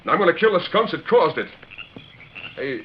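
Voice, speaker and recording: Jumpy young outlaw on the run: high, swooping, threatening voice, muffled vintage radio. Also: male voice, very animated, moderate pace. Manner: gruff, threatening, blustering. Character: defiant, jumpy young escaped prisoner in a western. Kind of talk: old-time radio western acting. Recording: muffled, noisy old radio recording.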